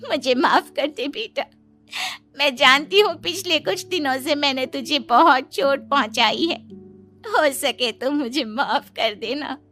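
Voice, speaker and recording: A woman voicing a bossy older mother-in-law with a soft heart in a moral story cartoon. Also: high voice, measured pace, soft background music. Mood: remorseful.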